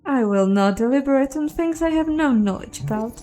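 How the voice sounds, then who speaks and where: Woman voicing a solemn old elf druid, higher-pitched. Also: expressive, brisk, mellow. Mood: cryptic, grave. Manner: solemn, cryptic, formal phrasing. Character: weary, solitary old elf mushroom woman, cryptic druid.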